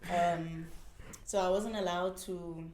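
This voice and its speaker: A woman's voice: lowish voice, slow pace, echoey room, noisy background. A South African woman in her twenties, a dancer talking candidly and animatedly as an interview guest. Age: 20s. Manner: candid, animated storytelling with frequent "like" and "man". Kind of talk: casual interview chat.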